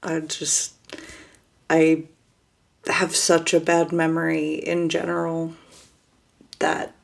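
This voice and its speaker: A woman talking casually to camera as she introduces herself, in a monotone voice with hesitant pauses and restarts. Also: deep voice, vocal fry. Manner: monotone, flat delivery; hesitant, many fillers and restarts.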